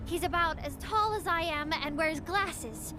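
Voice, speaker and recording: A woman's voice: extremely high pitch, unhurried, music in the background. A woman playing an earnest, concerned patrol crew member in a video game. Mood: worried.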